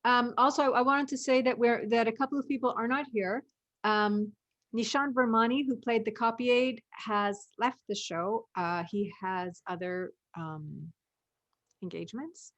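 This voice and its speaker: A woman's voice: a little high, fairly even intonation, unhurried, fairly bright tone. A woman hosting a casual cast discussion, with a joking, teasing way of talking. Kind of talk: casual chat.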